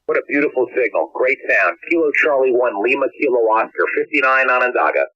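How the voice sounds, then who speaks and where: A man's voice: mid-range voice, expressive, fast, sharp tone, no room sound, lo-fi sound. An American man working a radio contest over shortwave, giving call signs and reports in phonetics. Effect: ham radio transmission. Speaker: amateur radio contest operator. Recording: dry band-limited shortwave radio signal.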